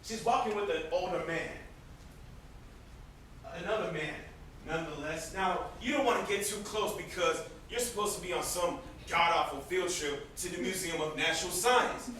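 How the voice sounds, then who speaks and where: A man's voice: sits high, normal speed, bright, forceful tone. A man acting a bitter, sarcastic young brother in an amateur stage play. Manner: sarcastic, confrontational. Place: indoor theatre stage. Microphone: distant camera microphone. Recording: distant echoey stage recording, faint noise.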